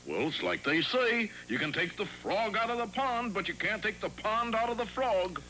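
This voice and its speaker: A man voicing a stubborn, put-upon young frog in an animated fairy tale, wry and complaining. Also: high-pitched, normal speed, slight background noise, exaggerated voice, low-quality recording. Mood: forlorn.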